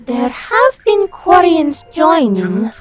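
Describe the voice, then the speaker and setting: A woman's voice: high voice, very animated, slow pace, exaggerated voice. A woman voicing a young quarian woman who teases her friend in a wry way, with music behind her. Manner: teasing, wry.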